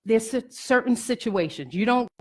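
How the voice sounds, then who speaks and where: A woman's voice: fairly high pitch, animated, normal speed. A woman giving an informal educational talk as a presenter, explaining step by step with emphasis and checking that listeners follow.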